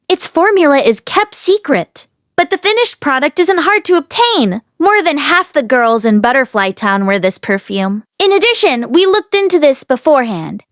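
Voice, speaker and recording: A high, close and dry voice for a cheeky young male disciple played by a woman. He is clever and talkative, explaining things at length with a teasing, coaxing streak, as in a storybook reading. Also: no room sound. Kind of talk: acted audiobook dialogue.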